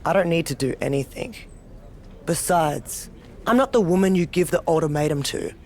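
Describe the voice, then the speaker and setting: A woman's voice: fairly low pitch, animated, moderate pace, a little mellow. A woman playing a cocky, dominant, sardonic wrestler who relishes chaos in a video game cutscene. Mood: defiant, assured. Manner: sardonic, self-assured. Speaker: pro wrestler character.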